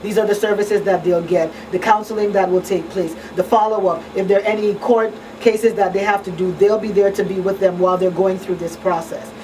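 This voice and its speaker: A woman speaks conversationally, explaining things on a radio talk show. Her voice sits at a middle pitch, a little away from the microphone, with faint noise in the small studio. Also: fairly even intonation, brisk, hardly any pauses, mellow, distant mic. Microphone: studio microphone on boom arm. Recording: roomy off-mic sound, faint background noise.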